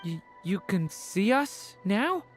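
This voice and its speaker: An actor playing a kindly, gentle young traveler in a game drama, speaking earnestly and sincerely. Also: mid-range voice, sing-song, slow pace. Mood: concerned. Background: music.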